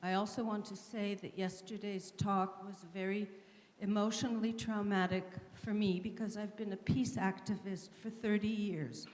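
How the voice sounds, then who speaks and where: A woman's voice: mid-range voice, fairly even intonation, normal speed, a little mellow. Middle-aged woman in echoey hall. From Israel. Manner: emphatic, personal testimony. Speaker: audience member asking a question. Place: lecture hall audience. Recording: roomy echo, long hall reverb.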